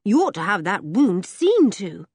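A woman reading an audiobook voices a frightened, gentle young girl who talks in an anxious, pleading way. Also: medium pitch, sing-song, unhurried, husky, no room sound, heavily acted.

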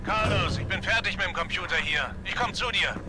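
A man's voice: high voice, fairly quick, background noise, close to an everyday voice. An actor playing a focused, dutiful male soldier and tech specialist in an audio drama. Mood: businesslike.

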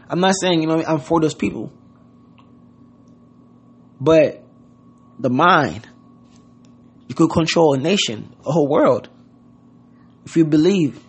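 A man's voice: on the high side, slightly rough. A man from Haiti giving an earnest motivational talk, loosely worded and full of "you know what I mean.". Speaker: podcast host. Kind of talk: casual motivational monologue.

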